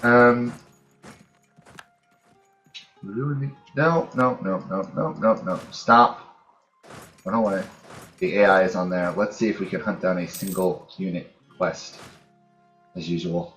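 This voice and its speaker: Male gamer, low-pitched, casual let's play narration, thinking out loud, light music underneath. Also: a little slow. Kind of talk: casual strategy game commentary, thinking aloud.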